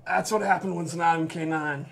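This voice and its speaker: A man in casual gaming chat, excitable and playful as he comments on his own match while playing. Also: a little high, brisk, gravelly, slight background noise.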